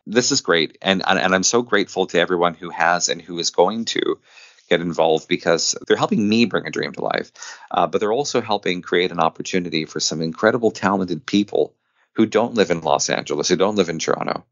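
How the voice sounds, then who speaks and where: Deep-voiced man, dull lo-fi sound. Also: a little fast, a bit bright, dry recording. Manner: earnest, enthusiastic, talkative. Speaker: guest, independent filmmaker. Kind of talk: casual podcast interview chat.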